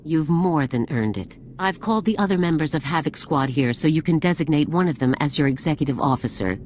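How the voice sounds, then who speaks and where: A woman's voice: low voice, expressive, a little fast, a little mellow, a little hiss or hum. A woman playing a stern, formal, authoritative military general in a video game. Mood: stern, businesslike. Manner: formal, commanding, clipped. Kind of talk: scripted video game acting.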